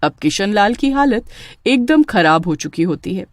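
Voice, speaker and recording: A woman narrating a scripted story as an expressive storyteller. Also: medium pitch, moderate pace, no room sound.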